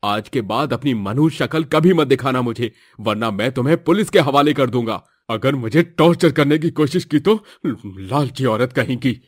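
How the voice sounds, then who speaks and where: A man's voice: mid-range voice, expressive, normal speed, hardly any pauses, dry recording, clean studio sound, natural voice. Man acting an earnest young husband. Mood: furious. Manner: earnest, reproachful, dramatic. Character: exasperated young husband in a family moral story.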